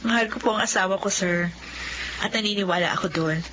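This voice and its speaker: A woman's voice: medium pitch, a little fast, slight background noise, close to an everyday voice. A woman playing an earnest devoted wife. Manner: earnest, polite, steady. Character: devoted young working wife and mother. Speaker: narrator and lead. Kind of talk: Filipino radio drama narration. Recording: dull lo-fi audio over music.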